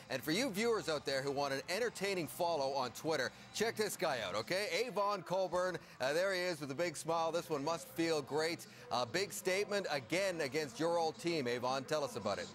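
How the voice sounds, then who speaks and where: Middle-aged male sports host, slightly high voice, live studio interviewing. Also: animated, fairly quick, few pauses, fairly bright tone.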